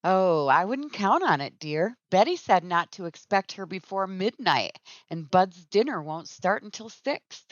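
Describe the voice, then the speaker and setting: An actress playing a gentle, worrying middle-aged American mother in a 1950s radio sitcom, tender and a little anxious, on a dull vintage recording. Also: medium pitch, big pitch swings, unhurried, hardly any pauses. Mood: affectionate.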